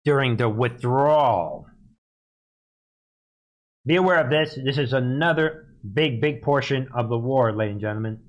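A man's voice: mid-range voice, moderate pace, long pauses. Scornful, emphatic man ranting live. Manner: emphatic, repeats phrases for effect; scornful, mocking. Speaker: live news commentary host. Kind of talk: live political rant and commentary.

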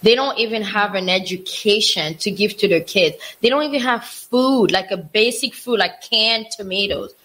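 A woman co-hosting a casual talk show, speaking with passion in a mid-pitched voice. She hammers her points home by repeating words and phrases. Her delivery is earnest and insistent. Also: normal speed, few pauses, bright, forceful tone, low-quality recording. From Africa. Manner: impassioned, repeats phrases for emphasis. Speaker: co-host. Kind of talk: casual opinion chat.

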